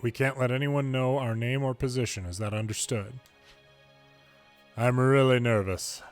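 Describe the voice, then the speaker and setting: A man's voice: medium pitch, steady tone, normal speed, faint music behind. A man reading video game story dialogue aloud in English, lightly acting out the different characters. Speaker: fan reader of game dialogue.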